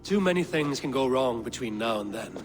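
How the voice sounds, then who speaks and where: A man's voice: medium pitch, animated, over music, lightly acted. A man playing a stoic, commanding Viking leader. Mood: firm, advising. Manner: measured, grave. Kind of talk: dramatic video game acting.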